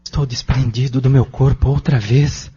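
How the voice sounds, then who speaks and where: A man's voice: mid-range voice, fairly even intonation, normal speed, breathy edge. A man playing a devout, wondering young man in an audio drama, earnest and awed. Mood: anxious wonder. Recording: muffled lo-fi, loud music behind.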